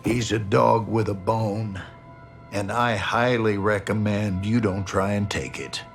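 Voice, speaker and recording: An actor playing a ruthless, cynical army general, lecturing and commanding, in a video game. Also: male voice, on the low side, unhurried, gravelly, soft background music. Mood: cold warning. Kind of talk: cinematic video game acting.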